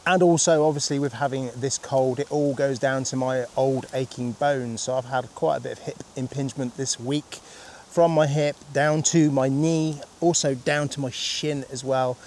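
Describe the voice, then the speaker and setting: A man in his fifties, throaty and husky from a cold, chatting casually and rambling with easy laughs as he vlogs on a rainy countryside walk. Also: mid-range voice, steady tone, normal speed, hardly any pauses, darkish tone. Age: 50s. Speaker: vlogger. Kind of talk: casual walking vlog.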